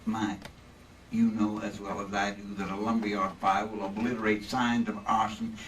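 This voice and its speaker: Casting: male actor as excitable, folksy insurance man for vintage radio drama re-creation, hems and repeats, heard at a distance. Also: lowish voice, normal speed, lightly acted. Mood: earnest. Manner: folksy, hemming, repeats himself. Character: male insurance man Earl, hospitable, excitable, bossy husband. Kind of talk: old-time radio drama re-creation on stage. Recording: distant stage mics, some room noise.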